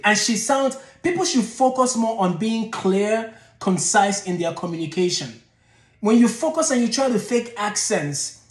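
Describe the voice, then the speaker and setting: A man's voice: high-pitched, roomy sound. A man giving blunt, exasperated commentary as a channel host, often digressing. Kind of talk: opinionated commentary.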